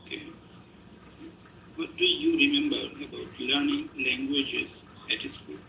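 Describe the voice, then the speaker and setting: A man's voice: on the high side, huge pitch swings, measured pace, creaky, sharp tone, reverberant, slight background noise, rough recording. A man on a video call reading out practice test questions in a brief, hesitant way. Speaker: practice examiner asking questions.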